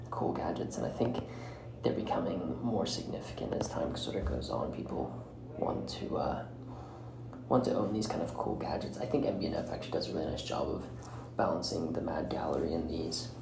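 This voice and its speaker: Very deep, gravelly male podcast host. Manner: halting, hesitant, often breaking phrases mid-way. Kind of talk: solo podcast commentary. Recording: roomy echo with clear background noise.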